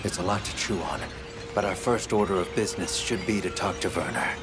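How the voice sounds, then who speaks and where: An actor playing a level-headed, decisive hunter in a video game, calm and practical. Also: male voice, low-pitched, fairly quick, close to an everyday voice. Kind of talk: video game cutscene acting. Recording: loud music behind the voice.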